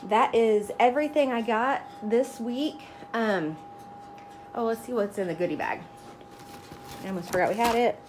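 A woman's voice: on the high side, moderate pace. A woman in her thirties casually chatting through her grocery haul, naming each item as she unpacks it. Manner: chatty, itemizing each product. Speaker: vlogger. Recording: noticeable background noise. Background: rustling bags and crinkling packaging.

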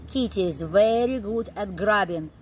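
A middle-aged woman reading a picture book aloud to young children in an expressive, deliberate way, recorded outdoors. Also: medium pitch, a little hiss or hum. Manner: expressive, deliberate read-aloud delivery with simple emphasized phrases. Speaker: early childhood storyteller. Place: outdoor backyard, seated on a garden seat.

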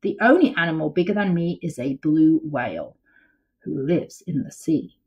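A woman voicing a big, wise male elephant in a children's storybook, speaking in a slow, measured, rumbling way. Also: animated, dull audio. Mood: calm. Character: huge wise male elephant, calm, proud.

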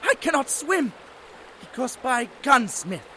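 Terrified captive informant, played by a woman, slow swooping high voice, pleading, stammering, audio drama. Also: very animated, sharp tone, slight background noise, full character voice. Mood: pleading, frightened.

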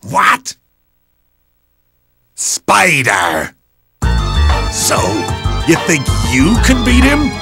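Gravelly, gloating robot villain. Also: male voice, sits high, wildly swooping pitch, over music, heavily acted. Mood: scheming, grandiose. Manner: theatrical, sneering, drawn-out delivery. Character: gloating villainous animal-like robot rebel commander. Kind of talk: video game cutscene acting.

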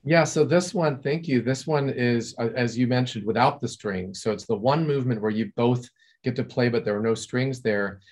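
Californian man, composer, relaxed, chatty, video call, home study. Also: medium pitch, moderate pace. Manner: relaxed, chatty, restarts and fillers. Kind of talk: video-call masterclass discussion.

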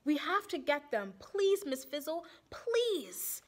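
A woman's voice: sits high, big pitch swings, takes it slowly, pitched above the performer's own voice, heavily acted. A woman voicing an earnest, bookish schoolgirl in a storybook, speaking insistently. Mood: wailing, pleading. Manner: insistent, pleading. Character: bookish, earnest schoolgirl who loves research.

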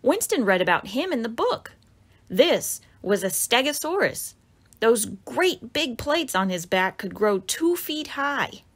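Animated woman reading a children's storybook. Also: fairly high pitch, measured pace. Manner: animated, dramatic exclamations for young listeners. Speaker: author reading own picture book. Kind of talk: expressive children's storybook narration.

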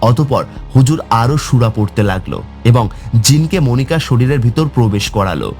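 A man's voice: mid-range voice, expressive, normal speed, a little mellow, faint music behind. A man narrating a scripted horror story for a cartoon.

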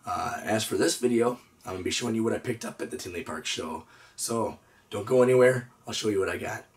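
Hoarse man vlogging from his reptile room. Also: lowish voice, brisk, recorded from a distance, slight background noise. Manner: easygoing, lots of "uh" and "so". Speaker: reptile breeder, channel host. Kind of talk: casual show-and-tell vlog. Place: indoor reptile room with storage drawers.

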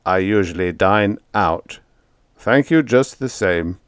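A man's voice: deep voice, lively intonation, takes it slowly, clear, resonant tone, dry recording, studio quality. Man voicing a guarded, nervous vampire. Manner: loud, clipped and guarded. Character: secretive, nervous male vampire lodger.

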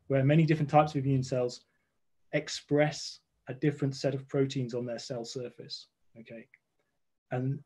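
Mellow-voiced man explaining science on a call. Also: mid-range voice, husky. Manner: thoughtful, restarts words, explains step by step. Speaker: guest scientist. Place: home room with red walls. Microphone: video call.

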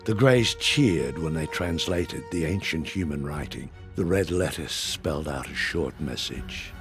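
A man with a low voice narrates an audio drama. His delivery is scripted, dramatic storytelling, with music clearly playing underneath. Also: animated, unhurried.

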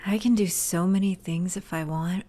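A woman's voice: on the low side, steady tone, normal speed, warm tone, close to an everyday voice. Brief: fierce young witch voiced by a woman, brooding monologue, music under. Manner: intense inner monologue, bursts of anger. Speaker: lead character. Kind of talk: audio drama acting.

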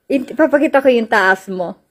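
A woman's voice: a little high, expressive, a bit bright. A woman with a Filipino accent giving a playful, chatty house tour, switching between Filipino and English as she walks from room to room with a handheld phone. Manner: playful, mixes Filipino and English, slow drawn-out phrasing. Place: indoors in a house, walking room to room. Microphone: handheld phone camera.

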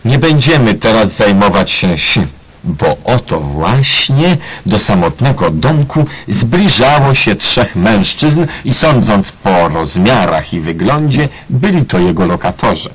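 Male narrator, low husky voice, deadpan ironic storytelling. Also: poor audio. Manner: mock-solemn, tongue-in-cheek. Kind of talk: scripted ironic radio play narration.

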